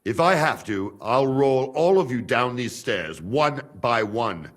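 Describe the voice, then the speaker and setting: An actor playing a proud, stubborn male castle guard who talks in a measured, quietly threatening way in a video game cutscene. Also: medium pitch, lively intonation. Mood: calm.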